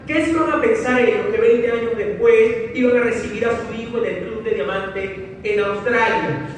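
A man's voice: very high-pitched, moderate pace. A man with a Venezuelan accent giving an emphatic motivational speech to a live audience, repeating phrases for effect. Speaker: motivational speaker. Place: large hall with audience, crowd noise behind. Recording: echoey hall, distant mic, noisy crowd.

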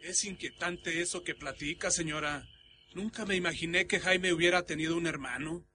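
An actor playing an uneasy, polite young Mexican friend in a horror radio drama. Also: male voice, on the high side, normal speed, sharp tone, slight background noise.

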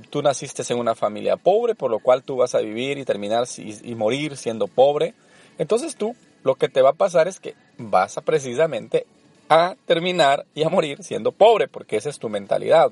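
A man's voice: medium pitch, a little mellow. A man from El Salvador hosting a personal finance podcast, speaking in an earnest, coaching manner with frequent fillers. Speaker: podcast host. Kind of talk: motivational podcast talk.

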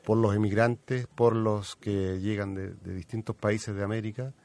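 A man's voice: fairly low pitch, little pitch movement, measured pace, gravelly, darkish tone. A man with a Chilean accent hosting a live radio programme in a casual, conversational interview style. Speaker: radio host.

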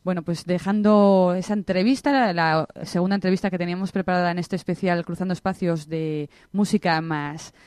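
Smooth-voiced woman radio host. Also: fairly low pitch, fairly even intonation, a little mellow, dry recording, studio quality. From Asturias, Spain. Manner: unhurried, with frequent hesitations. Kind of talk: local radio presenting. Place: radio studio.

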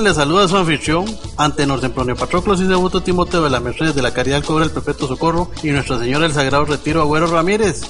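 A man's voice: fairly high pitch, a bit bright. A man presenting and reading scripted narration as a radio host. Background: music.

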